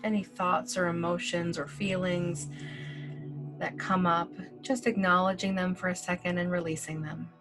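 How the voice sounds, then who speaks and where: Female meditation guide, lowish voice, soft and slow, casual phrasing, music bed under. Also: flat delivery. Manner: slow, gentle, soothing instructions with long pauses; casual fillers like "like". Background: soft background music.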